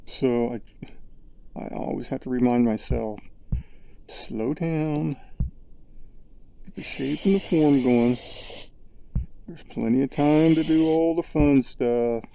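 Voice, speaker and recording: A man narrating his VR sculpting session in a relaxed, rambling way, thinking aloud with lots of fillers, recorded on a headset's built-in mic. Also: mid-range voice, slow, creaky, long pauses, dry recording, poor audio. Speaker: VR sculpting creator. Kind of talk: casual tutorial narration.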